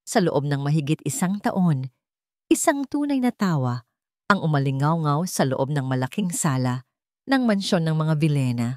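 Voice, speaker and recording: A woman with a Filipino accent narrating an emotional family story in a soft, heartfelt storytelling manner. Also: low-pitched, no echo, studio quality.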